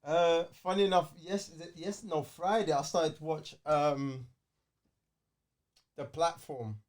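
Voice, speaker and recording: A man's voice: fairly high pitch, steady tone, takes it slowly, a little mellow. A man with a London accent chatting casually as a podcast co-host, slangy and repeating phrases for emphasis. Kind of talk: casual banter.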